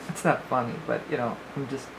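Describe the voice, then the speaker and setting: A woman's voice: very deep voice, normal speed, background noise. A woman giving a casual spoken astrology forecast as a psychic reader, rambling and thinking aloud with many fillers, her voice a little worn.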